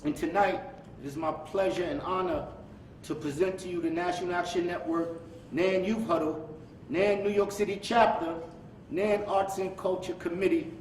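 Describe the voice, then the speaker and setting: In an echoey, somewhat distant recording with faint noise, a man speaks as an event host. His voice is high for a man, and he delivers a formal introduction to a live audience. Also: darkish tone.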